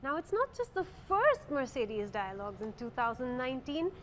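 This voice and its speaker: Bubbly high-voiced woman host. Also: very animated, normal speed, fairly bright tone, a little hiss or hum. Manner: bubbly, laughs often, reads out viewer questions. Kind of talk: upbeat hosted interview. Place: inside a parked car.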